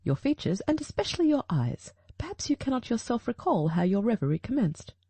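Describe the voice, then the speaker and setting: Woman as shrewd male detective, audiobook dialogue, mellow, husky, didactic, formal, self-assured. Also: a little high, sing-song, fairly quick, no room sound, full character voice.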